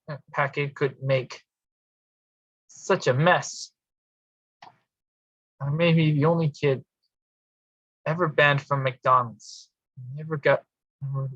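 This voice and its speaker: A man performing a comic storytelling monologue with a halting, theatrical delivery. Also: a little high, bright, forceful tone, dry recording. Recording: dull lo-fi sound.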